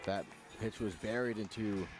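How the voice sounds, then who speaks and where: A man's voice: on the low side, normal speed. A man calling live baseball play-by-play on the radio in clipped phrases, following the action as it unfolds.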